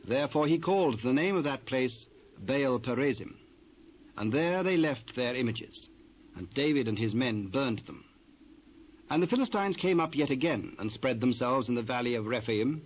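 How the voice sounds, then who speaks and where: A British man narrating a dramatised Bible reading in a measured, formal manner. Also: mid-range voice, lively intonation. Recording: dull, noisy old cassette.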